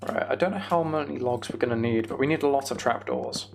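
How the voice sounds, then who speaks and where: A man's voice: medium pitch, quick pace, gravelly. A man streaming a video game, chatting casually and thinking aloud in a rambling way.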